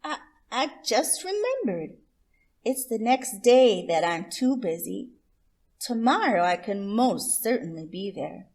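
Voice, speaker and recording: A woman voicing a lazy, sly male trickster rabbit from a storybook, full of innocent-sounding, drawn-out excuses. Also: mid-range voice, very animated, darkish tone, heavily acted. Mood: eager backpedaling.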